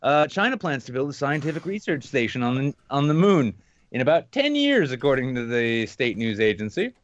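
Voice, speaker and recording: A man hosting a podcast with casual, comedic news commentary in an irreverent, sarcastic, animated manner. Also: mid-range voice, brisk, no room sound, dull audio. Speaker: podcast host.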